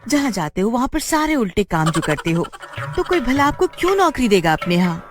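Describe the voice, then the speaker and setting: A woman's voice: fairly high pitch, very animated, brisk, over music, pitched above the performer's own voice. A woman voicing a sarcastic, exasperated village wife who scolds her foolish husband in a cartoon moral story. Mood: sarcastic scolding.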